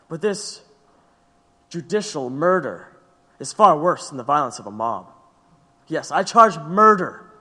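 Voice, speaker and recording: A man's voice: high-pitched, bright, forceful tone, full character voice. An actor playing a defiant, impassioned male anarchist defendant, giving an emphatic, rhetorical courtroom speech in an audio drama. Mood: accusing, defiant. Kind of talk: theatrical courtroom oratory. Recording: long hall-like reverb.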